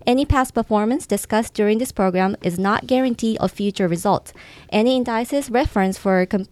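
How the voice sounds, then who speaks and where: A woman's voice: mid-range voice, a little mellow, no echo. A woman with a Japanese accent whose first language is Japanese, a guest attorney answering interview questions in a careful, polite way with small hesitations. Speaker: guest, estate planning attorney. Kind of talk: interview answers and read-out disclaimer.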